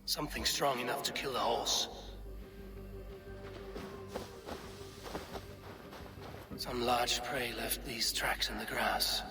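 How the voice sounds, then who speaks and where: A man's voice: mid-range voice, fairly even intonation, normal speed, crowd noise, close to an everyday voice. A man playing a stoic, blunt Norse warrior in a video game, speaking in terse, dry lines. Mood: grim, focused. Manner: terse, plain-spoken, dry.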